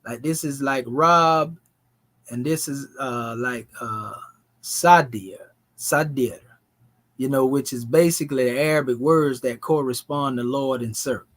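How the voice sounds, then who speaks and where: A man, teaching a language class in a relaxed, folksy way. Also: medium pitch, measured pace. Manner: relaxed, folksy, dialect grammar.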